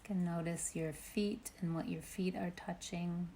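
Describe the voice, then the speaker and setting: A woman leads a guided meditation in a low voice. She speaks very slowly and gently, leaving long pauses between instructions. Ambient noise is clearly present behind her. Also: steady tone. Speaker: meditation teacher.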